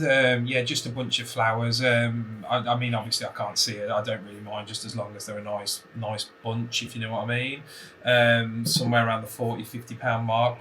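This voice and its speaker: Man, prank caller, deadpan and rambling, clearly noisy recording. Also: mid-range voice. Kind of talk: casual phone prank chat.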